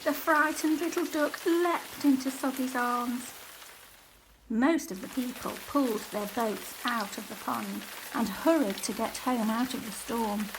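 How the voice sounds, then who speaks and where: Unhurried woman reading a storybook aloud. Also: on the high side, background noise. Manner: measured, expressive storytelling. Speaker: teacher reading a picture book to children. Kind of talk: storybook read-aloud, scripted narration.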